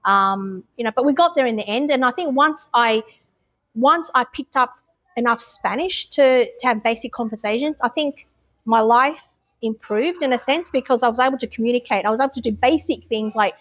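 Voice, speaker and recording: A woman's voice: on the high side, moderate pace, fairly bright tone, poor audio. An Australian woman talking openly about her life, with frequent ums and restarts. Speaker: interviewee. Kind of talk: casual interview answers.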